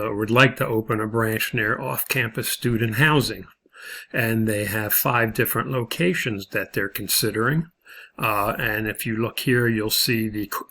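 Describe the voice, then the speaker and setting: A man instructing in a video lesson, explaining a worked problem step by step in a halting way. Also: on the low side, sharp tone. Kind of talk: video lesson walking through example problems.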